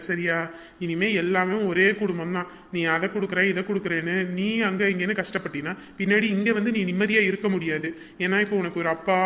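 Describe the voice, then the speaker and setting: A man voicing a groom who speaks gently to his bride and shouts in anger at others. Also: high-pitched. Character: hot-tempered man, groom and angry brother.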